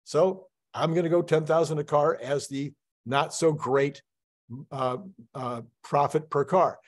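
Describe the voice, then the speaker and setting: A man's voice: fairly high pitch, steady tone, measured pace. A man hosting a channel, talking casually and emphatically as he walks through spreadsheet projections. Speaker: host of a finance channel.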